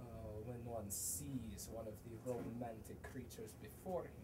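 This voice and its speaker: A man's voice: mid-range voice, fairly even intonation, measured pace, very noisy, natural voice. A male actor playing a blustering, mocking, hot-tempered boor in a comic stage play, declaiming with theatrical sarcasm. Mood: scornful. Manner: declamatory, sarcastic, exaggerated, swinging from bellows to murmurs. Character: blustering, boorish, misogynist middle-aged man, mocking and hot-tempered. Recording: distant mic on a theatre stage.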